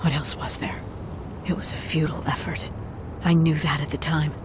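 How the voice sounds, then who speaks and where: A woman playing a weary, guarded young female ex-soldier in a video game, speaking in a restrained, grave, measured way. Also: deep voice, flat delivery, noisy background, close to an everyday voice. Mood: somber, bitter. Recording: loud music under the voice.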